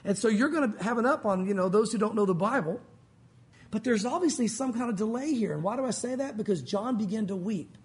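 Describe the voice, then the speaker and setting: A male pastor with a loud voice, preaching in a conversational, emphatic way. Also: high voice, animated, a little fast. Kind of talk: sermon.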